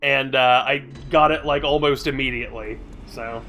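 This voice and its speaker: A man's voice: mid-range voice, steady tone, moderate pace, bright, forceful tone, slight background noise. A man live-streaming a video game, stuffy from a cold, chatting casually with viewers in a rambling, grumbly way and swearing now and then. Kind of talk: casual live-stream chat while playing.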